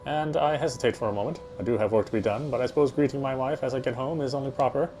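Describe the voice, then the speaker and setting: A man's voice: mid-range voice, fast talker, a little mellow, soft background music. A man reading first-person story narration aloud in a dramatic way as he plays a visual novel.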